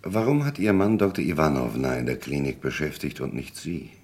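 Very deep, gravelly police commissioner. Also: male voice, animated, darkish tone, heavily acted. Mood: probing, cool. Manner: measured, dry questioning. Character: dry, unhurried male Paris police commissioner investigating a murder. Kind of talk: German crime radio play acting.

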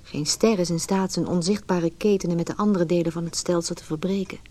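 Woman acting ominous narrator, slightly low voice, solemn, deliberate, radio play introduction style. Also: fairly even intonation, mellow, natural voice. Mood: pensive, ominous. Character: philosophical, ominous female narrator musing on fate. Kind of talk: scripted radio drama narration.

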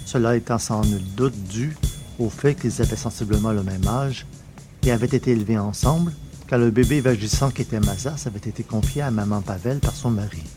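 A man's voice: fairly low pitch, a little fast, music in the background. A man narrating a scripted science fiction adventure story in a literary, storytelling style.

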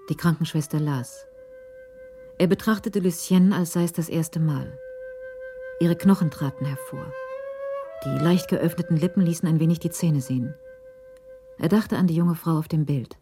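A woman's voice: low voice, moderate pace, pauses often, over music. A woman narrating a radio crime drama from a script in the role of storyteller.

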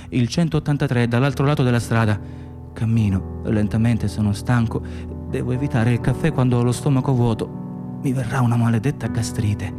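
An actor playing an exhausted, anxious immigrant nurse in a radio play, speaking in fragmented, repetitive phrases. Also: male voice, medium pitch, lightly acted. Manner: fragmented, self-muttering, repetitive. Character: exhausted, anxious Moroccan immigrant nurse, man, muttering inner thoughts. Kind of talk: radio theatre interior monologue and dialogue.

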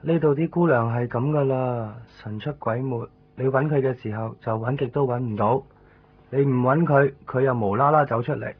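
A man's voice: medium pitch, normal speed, close to an everyday voice. An actor playing an easygoing, weary male hospital patient chatting casually in a radio drama. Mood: weary, chatty. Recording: tinny 1990s radio, faint background noise.

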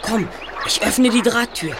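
A woman's voice: a little high, lively intonation, measured pace, noisy background. A woman playing a clever, self-assured boy detective in an audio drama, precise and explanatory.

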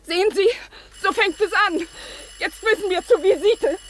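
An actress playing an anxious, devoted former governess in a German radio drama, speaking in a deferential, formal and hesitant way. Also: female voice, very high voice, normal speed, fairly bright tone, a little hiss or hum.

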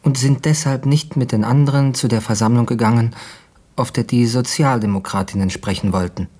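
An actor playing an earnest, courteous male newspaper editor in a German radio play, with formal, measured phrasing. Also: mid-range voice, steady tone, warm tone, natural voice. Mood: sympathetic.

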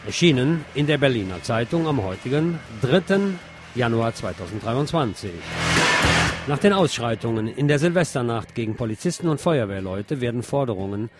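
Male presenter, mid-pitched, reading press commentary from a script over faint music. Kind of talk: scripted read-aloud news commentary.

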